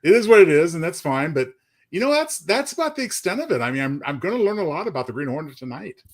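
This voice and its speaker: A man's voice: fairly high pitch, big pitch swings, fast. A man co-hosting a radio show in casual, conversational chat.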